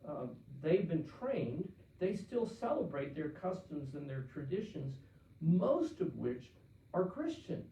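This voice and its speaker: A man's voice: fairly high pitch, big pitch swings, takes it slowly, mellow. An older man, a pastor from Pennsylvania, answering listener letters in a conversational Q&A talk with frequent restarts and fillers. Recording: roomy, distant, dull-sounding.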